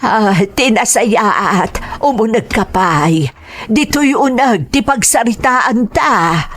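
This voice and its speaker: A woman's voice: a little high, animated, takes it slowly, a bit bright, pitched above the performer's own voice, heavily acted. A woman acting a loving, emotional old mother and grandmother in an audio drama, tender and drawn out with hesitations. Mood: tearful welcome.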